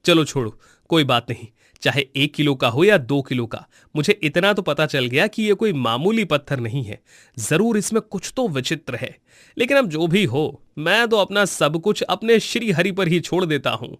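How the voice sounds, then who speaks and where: Man playing a devout village butcher, slightly high voice. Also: lively intonation, normal speed, fairly bright tone, no room sound, studio quality. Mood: puzzled, then accepting. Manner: earnest, pious. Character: devout, humble male village butcher, gentle and wondering. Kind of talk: cartoon moral-story voice acting.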